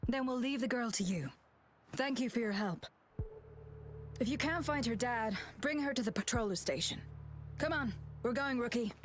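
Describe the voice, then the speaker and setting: Brisk, high-voiced patrol captain, acted by a woman. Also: lively intonation, slight background noise, natural voice. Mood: brisk, polite. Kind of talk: scripted video game voice acting. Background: game music.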